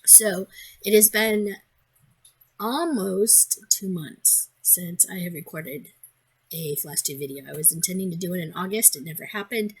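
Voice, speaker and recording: A woman with a hoarse, congested voice, chatting casually in a crafting vlog, rambling with many ums and restarts. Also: on the low side, unhurried, low-quality recording. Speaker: crafting vlogger.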